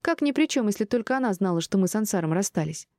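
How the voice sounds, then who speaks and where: A woman voicing an exasperated, indignant divorced woman with a sharp tongue in an audiobook drama. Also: medium pitch, expressive, quick pace, no echo, clean studio sound, voice pushed up, close to an everyday voice. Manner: irritated, indignant.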